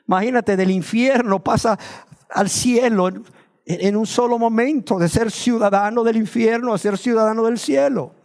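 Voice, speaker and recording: High-voiced preacher. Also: male voice, darkish tone. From Nicaragua. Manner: rhetorical questions, slows to stress key words. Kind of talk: sermon.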